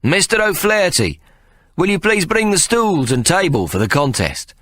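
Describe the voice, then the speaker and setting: A man's voice: high-pitched, sing-song, normal speed, fairly bright tone, no echo, clean studio sound, voice pushed up, exaggerated voice. A man voicing a sly, scheming male showman who announces grandly and wheedles. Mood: grand announcing.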